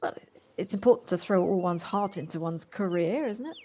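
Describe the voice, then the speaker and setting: A woman's voice: medium pitch, expressive, moderate pace, mellow, lightly acted. An actress playing a busy city career woman in an audio drama, polite, chatty and slightly flustered. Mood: self-deprecating, earnest. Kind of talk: amateur audio drama acting.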